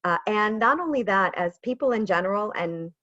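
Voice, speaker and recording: A woman in her thirties with an American accent, coaching a webinar over a video call, chatty and animated with self-deprecating asides. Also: medium pitch, moderate pace, dry recording. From Israel. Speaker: pitch coach. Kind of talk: webinar presentation. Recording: dull lo-fi video call audio.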